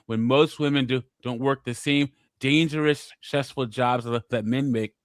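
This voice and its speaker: A man's voice: medium pitch, steady tone, moderate pace, dry recording, studio quality. A man co-hosting a call-in show, giving opinions with frequent stammered restarts. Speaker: podcast co-host. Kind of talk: casual call-in chat.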